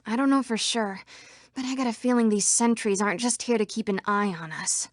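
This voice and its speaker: A woman's voice: a little high, fairly quick, no room sound, studio quality, close to an everyday voice. A woman voicing a female computer program citizen in a video game. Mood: wary.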